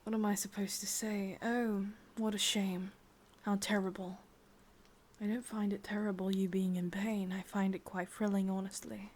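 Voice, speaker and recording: A woman with an English accent playing a sadistic, possessive witch in a whispered, breathy ASMR roleplay, commanding yet doting, with deliberate, intimate delivery. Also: mid-range voice, little pitch movement, darkish tone, slight background noise, lightly acted. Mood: amused, sadistic.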